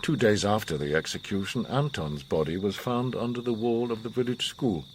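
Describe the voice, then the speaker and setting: Guy acting older haunted war survivor, really low voice, solemn n commanding, radio play, insect noise or hiss behind. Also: natural voice. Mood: sombre recollection. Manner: measured, solemn, philosophical pronouncing. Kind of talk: radio drama monologue and dialogue.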